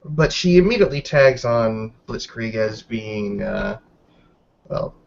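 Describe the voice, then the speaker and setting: A man's voice: lowish voice, animated, takes it slowly, gravelly, a little hiss or hum. Male roleplayer narrating, dull lo-fi sound. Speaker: tabletop roleplay player. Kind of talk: improvised third-person roleplay narration.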